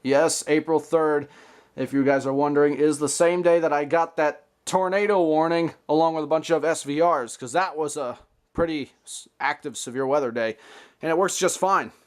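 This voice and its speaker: A man's voice: fairly high pitch, moderate pace, clean tone. An American man hosting a casual hobby vlog, talking in a chatty, playful, slangy way. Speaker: hobbyist video host. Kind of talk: casual show-and-tell vlog.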